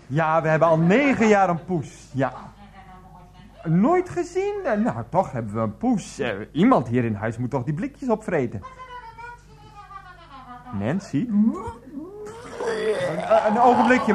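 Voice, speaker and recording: A man's voice: high voice, sing-song, unhurried, long pauses. An actor playing a flustered, placating, henpecked husband and mother's boy on the telephone in a radio comedy drama. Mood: agitated.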